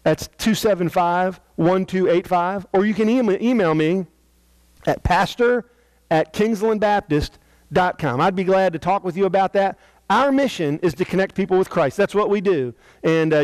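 A man's voice: high voice, normal speed, darkish tone, clean tone, no echo, poor audio. An American man in his thirties speaking as a pastor, addressing television viewers with a church invitation. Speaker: senior pastor. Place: indoor church sanctuary.

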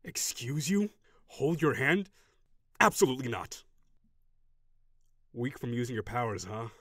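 A man voicing a proud, gruff tsundere dragon warrior in an audio roleplay, brusque and defensive with grudging sighs. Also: mid-range voice, big pitch swings, a little slow, fairly bright tone, dry recording, studio quality. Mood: flustered, brusque.

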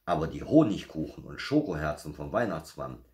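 A man's voice: very deep voice, very animated, fairly quick, a little mellow. A man voicing a teasing, know-it-all young male wolf in a children's storybook reading, always one-upping.

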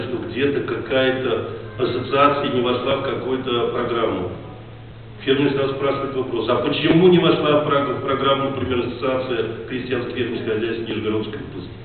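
A man with a cold, hoarse, giving an emotional and reproachful speech to farmers at a meeting in a large hall. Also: medium pitch, brisk. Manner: reproachful, rambling, rising to indignation. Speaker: farmers' association head addressing a meeting. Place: large assembly hall with seated audience. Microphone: far camera in the audience rows. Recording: distant echoey hall, tinny, faint noise.

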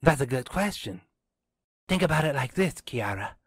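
A man's voice: mid-range voice, big pitch swings, normal speed, gravelly, slightly rough, dry recording, clean studio sound, voice pushed up, heavily acted. A man voicing an earnest, eager teenage boy in a story, insistent and sure of himself. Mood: earnest, certain.

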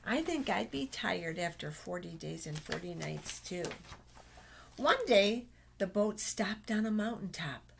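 An older woman reads a picture book aloud to small children at an unhurried pace. Her pitch is average, and she often exclaims and stretches out words as she points things out. It is a webcam recording in a small office, with slight background noise. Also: lively intonation, vocal fry. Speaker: storytime reader for children. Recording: noisy webcam recording with clicks.

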